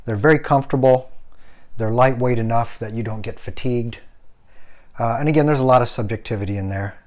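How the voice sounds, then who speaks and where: A man reviewing a gaming headset, showing its parts and explaining them in a relaxed, conversational way with a few "uh" fillers. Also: medium pitch, brisk. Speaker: tech product reviewer. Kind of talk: hands-on product review.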